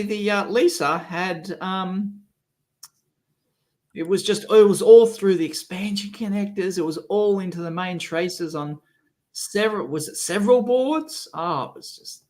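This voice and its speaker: A man with a cold and a rough, sick-sounding voice, hosting a casual live stream and grumbling with exasperation. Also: a little high, fairly bright tone. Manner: grumbling, exasperated, swears freely. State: has a cold, coughing. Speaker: electronics video host.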